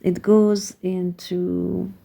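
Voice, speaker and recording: Hungarian-accented woman reading tarot cards, hesitant and unhurried, dark gravelly voice. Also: lowish voice, little pitch movement. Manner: hesitant, searching for words with many pauses. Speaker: tarot card reader. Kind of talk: slow, reflective card reading.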